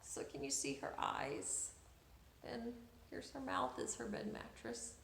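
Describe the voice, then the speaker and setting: A woman reading a picture book aloud for children, with dramatic, deliberate emphasis, in an echoey room. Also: fairly high pitch, big pitch swings, creaky, background noise. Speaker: children's story reader.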